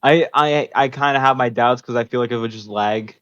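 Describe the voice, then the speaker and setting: A man's voice: mid-range voice, a little fast, fairly bright tone, clean tone, muffled recording. A man in casual podcast chat as a co-host, blunt and skeptical, swearing casually.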